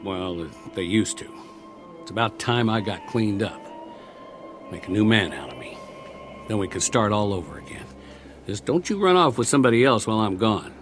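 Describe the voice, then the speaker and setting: An actor playing a war-weary, talkative soldier in a radio drama, rambling and wry, lonely and eager to please, stammering when eager. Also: male voice, on the low side, expressive, moderate pace, long pauses, fairly bright tone, soft background music. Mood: sheepish, friendly.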